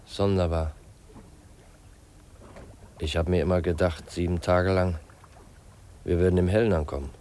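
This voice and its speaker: Dreamy polite young orphan, low soft-mannered voice, earnest, 50s german radio drama. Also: male voice, fairly even intonation, measured pace, long pauses, background noise. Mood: wistful. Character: polite, wistful orphaned young man, twenty.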